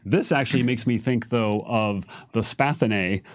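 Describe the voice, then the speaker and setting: A man's voice: lowish voice, moderate pace, no echo. A man answering lore questions as a game developer, explaining off the cuff and often rephrasing himself mid-sentence. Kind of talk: unscripted explanatory talk.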